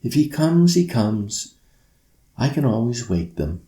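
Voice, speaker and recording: Guy doing an earnest little girl for a bedtime story, voice pushed up, kinda soft and dark. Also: mid-range voice, sing-song, slow pace, roomy sound, voice pushed up. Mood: hopeful. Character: Thompson, earnest young girl, frustrated, eager, full of wonder. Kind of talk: children's bedtime storybook reading.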